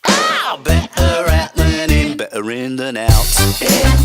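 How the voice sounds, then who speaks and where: Very deep, playful female musical singer. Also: wildly swooping pitch, takes it slowly, vocal fry, heavily acted. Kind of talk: musical theatre patter song. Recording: voice under loud funk music.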